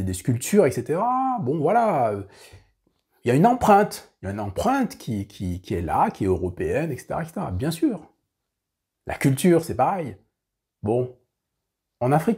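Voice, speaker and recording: A man's voice: mid-range voice, mellow, breathy edge. A man hosting a casual monologue to his viewers, emphatic and repeating his phrases with many fillers. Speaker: host.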